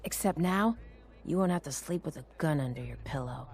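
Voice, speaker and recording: An actress playing a nervous, deferential doctor among raiders in a video game, speaking hesitantly. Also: female voice, sits low, lively intonation, a little mellow. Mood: cautious, urging. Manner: hesitant, halting. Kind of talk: video game character dialogue.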